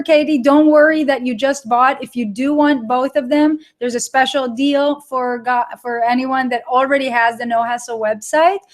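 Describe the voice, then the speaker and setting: A middle-aged woman with an Israeli accent, hosting a live webinar and chatting casually with viewers, repeating words for emphasis. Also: fairly high pitch. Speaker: online course creator hosting a live webinar.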